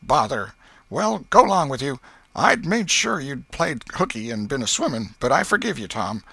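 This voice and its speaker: A man acts out a fretful old Southern aunt from a storybook, pitched well up and swooping widely. She is folksy and exclamatory, a pious scold with a soft heart, and the sound is bright and forward. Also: very animated, normal speed, vocal fry, breathy edge, no room sound, heavily acted. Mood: grudgingly forgiving. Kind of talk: audiobook character voice.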